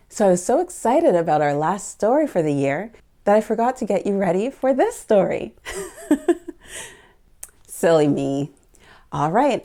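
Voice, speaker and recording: Slow, mellow woman hosting children's storytime. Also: mid-range voice. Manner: exclamatory, encouraging, asks the children questions. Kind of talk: picture book read-along addressed to young children.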